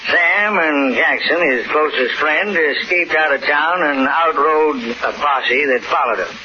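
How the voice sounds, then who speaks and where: A man's voice: fairly high pitch, unhurried, close to an everyday voice. A man playing a folksy, grave old Western storyteller, narrating an old time radio drama. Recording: muffled, noisy old radio recording.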